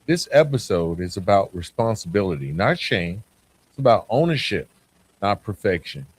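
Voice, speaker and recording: A man hosting a motivational podcast monologue, speaking in a deliberate, emphatic way and putting questions to the listener. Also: on the low side, big pitch swings, slightly rough. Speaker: podcast host.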